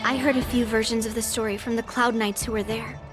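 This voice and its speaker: A woman's voice: on the high side, level tone, a little fast, lightly acted. A woman voicing a cocky young female sword master in a video game, smug and self-assured, with music behind her. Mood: nonchalant.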